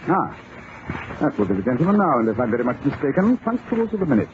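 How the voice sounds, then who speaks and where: An actor playing a brisk, wry English detective with a British accent and a crisp, commanding manner, in 1940s radio style. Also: male voice, medium pitch, very animated, close to an everyday voice. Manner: crisp, imperious, dry. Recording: noisy muffled old radio broadcast.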